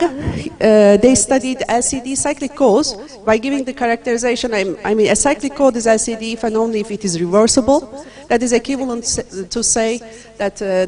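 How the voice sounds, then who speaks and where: A woman from Turkey giving a university lecture, talking in a friendly way with many fillers and pauses. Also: on the high side, dark tone.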